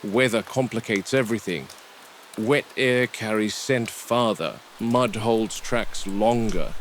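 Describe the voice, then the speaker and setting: Man playing a measured game warden over field noise. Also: lowish voice, close to an everyday voice. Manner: measured, deliberate, observational. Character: weary, watchful male game warden of a dinosaur park. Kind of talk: found-footage horror log narration. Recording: noisy field recording with vehicle ambience.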